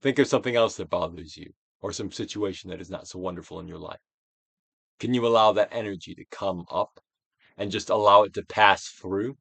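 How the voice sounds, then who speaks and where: A man's voice: lowish voice, fairly quick, creaky, fairly bright tone, breathy edge, no echo. A man giving a theatrical, declamatory dramatic reading of a self-help book, like a stage Shakespearean, drawing out phrases for emphasis. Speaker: audiobook reader, actor.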